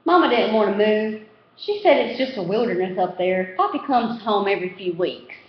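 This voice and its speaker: Lady as casual knowing storybook kid, boy, high lively voice, roomy echo. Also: normal speed, muffled recording, natural voice. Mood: matter-of-fact. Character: matter-of-fact young boy, casual and knowing.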